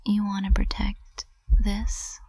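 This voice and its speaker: A woman's voice: medium pitch, completely flat delivery, no room sound. A woman playing a composed witch professor turned tender and possessive, whispering intimately in an ASMR roleplay, close to the microphone. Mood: vulnerable, confessing. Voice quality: breathy whisper. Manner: slow, hushed, intimate delivery.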